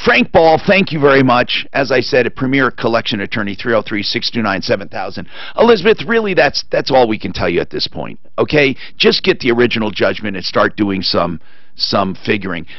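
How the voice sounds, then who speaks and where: A man's voice: big pitch swings, brisk, no room sound, dull audio. A man hosting a call-in radio show, talking with opinionated, emphatic rants into a studio mic. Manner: opinionated ranting, emphatic swings in pitch. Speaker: radio talk show host. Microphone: studio boom mic with headphones.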